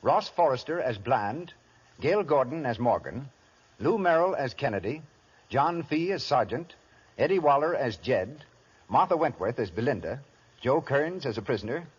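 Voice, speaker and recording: An American man announcing on 1930s radio, reading out scripted credits in a slightly rough voice. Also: medium pitch. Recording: muffled 1930s radio recording.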